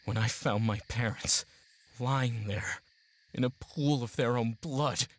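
An actor playing an earnest, haunted young would-be mercenary in an audio drama, with hesitant, emotional delivery. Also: male voice, mid-range voice, slow, slight background noise, lightly acted. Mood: grave, anguished.

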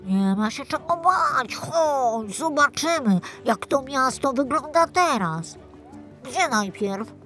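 A woman's voice: high voice, lively intonation, takes it slowly, a bit bright, soft background music, heavily acted. A woman playing a kindly, solemn magical fish messenger in a children's audio story, reassuring and mysterious.

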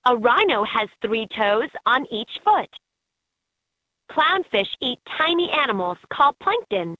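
A woman's voice: on the high side, big pitch swings, a little slow, no echo. A woman reading scripted narration as the guide in a children's learning game, recorded as lo-fi toy audio. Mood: instructive.

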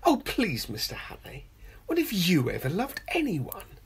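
A woman playing a suave, gloating male professor and secret spy in a radio play, pompous mock courtesy and a husky, breathy voice. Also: fairly low pitch, huge pitch swings, measured pace, exaggerated voice. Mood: mocking, grandiose. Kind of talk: homemade radio play acting.

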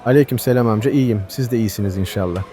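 An actor playing a friendly, trusting village shopkeeper in a children's cartoon. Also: male voice, on the low side, racing, warm tone, soft background music, close to an everyday voice. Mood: polite.

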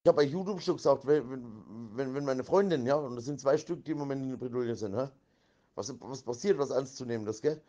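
Man, medium pitch. Slangy, sweary, repetitive rambler who drifts into improvised rhyme. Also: warm tone, muffled recording.

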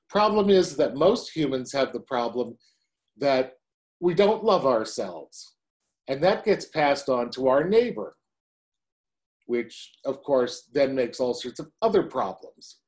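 A man's voice: medium pitch, a little mellow. A man in his sixties, a pastor, preaching a sermon from home to a webcam in a chatty way, with wry asides.

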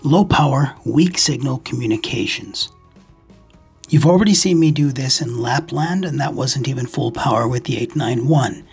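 A man with a very husky, breathy voice narrates an instructional hobby video. He explains things in a measured, methodical way as the host, with music playing behind his voice-over. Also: mid-range voice. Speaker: ham radio video host.

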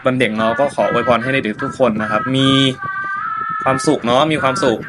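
A man with a mid-range voice greets young viewers informally and politely, a little hesitantly. Music is clearly audible behind him. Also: medium pitch, steady tone, a little fast. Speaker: actor giving a holiday greeting to children.

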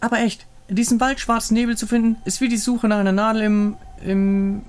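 Man putting on a high-pitched naive young woman. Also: little pitch movement, brisk, clear, resonant tone, close to an everyday voice. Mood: dismayed. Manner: exclaiming, searching for words. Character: chatty, naive young woman in fantasy game. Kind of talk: fan voice-over of a game story.

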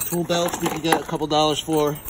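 Male treasure-hunt vlogger, casual and complaining in a playful way, recorded with very loud background rumble. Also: flat delivery, brisk. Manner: grumbling, half-joking commentary. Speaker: treasure hunter going through a storage unit. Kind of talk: casual unscripted chat while sorting items. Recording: very noisy handheld recording, engine-like rumble.

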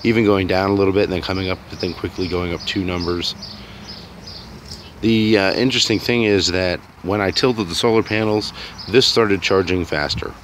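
A man's voice: sits low, moderate pace, fairly bright tone, slight background noise. A man vlogging outdoors in a sunny yard, thinking aloud in a rambling, unsure way while filming on a phone. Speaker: DIY vlogger. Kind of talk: casual thinking-aloud commentary.

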